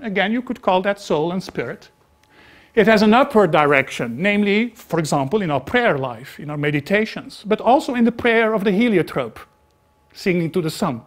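A middle-aged man from Belgium with a Belgian accent, not a native English speaker, giving a reflective spiritual lecture to an audience in a small meeting room. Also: a little high. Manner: reflective, emphatic, gesturing broadly.

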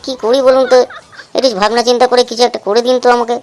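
Casting: female actor for a naive, cheeky cartoon patient, highish voice, rapid wordy chatter, noisy recording. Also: flat delivery, darkish tone, close to an everyday voice. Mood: complaining. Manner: wordy, complaining, colloquial. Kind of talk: comic Bengali cartoon dialogue.

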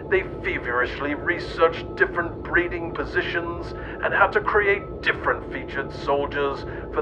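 A man reading a scripted mock-history tale in a theatrical, mock-serious manner. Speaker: narrator and host. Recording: dull, noisy, rumbly old-radio sound.

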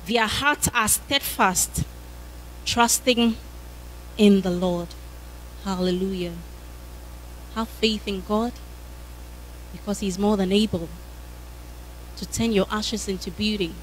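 A woman's voice: medium pitch, slow pace, pauses often, poor audio. Woman in her 30s preaching into a handheld mic in a church, fervent, lots of hallelujahs, faint hum behind. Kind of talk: sermon. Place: church hall.

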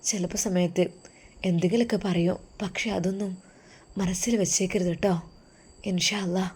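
A woman voicing a gentle young husband who speaks softly and comfortingly to his wife. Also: normal speed, natural voice. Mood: soothing. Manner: soft, low and comforting.

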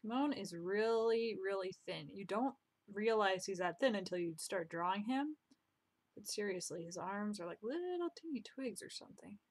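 A young woman casually commenting on her own drawing as she works, chatty and self-deprecating, with quiet muttered asides, recorded at home on a desk mic. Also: medium pitch, animated, moderate pace. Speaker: drawing vlogger. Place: home room, indoors.

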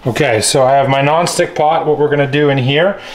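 A man in his thirties casually showing a recipe in his home kitchen, joking and gently coaching his young daughter. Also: a little high, steady tone, brisk, crowd noise. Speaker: home cook and vlogger. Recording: echoey kitchen with music behind. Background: background music and clattering pots.

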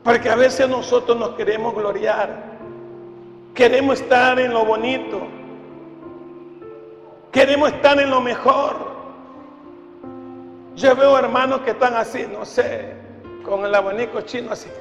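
An older man delivers an impassioned sermon in a very high voice. He is emphatic, repeating phrases and posing rhetorical questions. The sound comes through a handheld mic in a reverberant church hall, with music clearly present behind him. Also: steady tone, a little slow, long pauses. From Ecuador. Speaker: pastor. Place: large church hall, indoors, before a congregation. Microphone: handheld microphone through PA.

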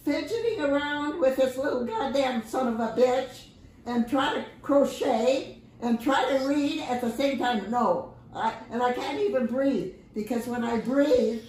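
Feisty, high-pitched woman in her nineties. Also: unhurried, darkish tone. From United States. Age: mid-nineties. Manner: feisty, blunt, swears freely. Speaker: grandmother filmed for family social clips. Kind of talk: casual home chat. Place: living room, indoors. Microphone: phone camera a few feet away. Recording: roomy, echoing home phone video.

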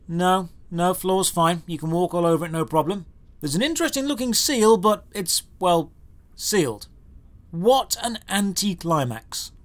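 A man's voice: sits high, lively intonation, a little slow, fairly bright tone. A man narrating a scripted video game review in a sardonic, exasperated, emphatic way.

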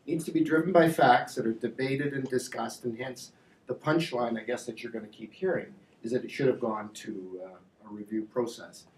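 A man's voice: medium pitch, moderate pace, a little mellow. Distant, echoey man presenting. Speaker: industry association representative, panel speaker. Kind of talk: public presentation at a panel discussion. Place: indoor lecture room with slide screen. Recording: echoey room, distant mic, faint noise.

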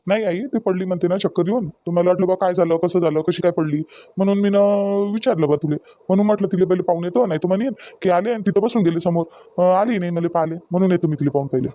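A high-pitched, quick-talking young cartoon son played by a man with a Varhadi Marathi accent. He is earnest and anxious. His words hurry along and circle back on themselves. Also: dull audio, close to an everyday voice. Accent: Varhadi Marathi of Vidarbha. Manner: earnest, hurried, repetitive. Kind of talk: family cartoon dialogue.

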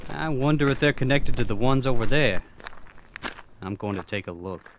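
A man's voice: medium pitch, animated, normal speed, a little hiss or hum, natural voice. A man voicing an eager expedition member in a horror manga dub. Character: eager expedition member exploring a mountain fault.

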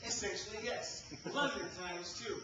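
An actor playing an earnest, exasperated theatergoer who gives emphatic, pedantic replies in a live stage comedy. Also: male voice, sits high, moderate pace, close to an everyday voice. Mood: defensive. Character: exasperated, earnest male theatergoer deferring to critics. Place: theater festival stage, recorded from the audience. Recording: very echoey, noisy, distant live stage capture.

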